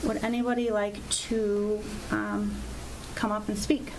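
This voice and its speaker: A woman chairing a village council meeting, moving briskly through procedural motions. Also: medium pitch, level tone, creaky, over music. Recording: noisy meeting-room recording.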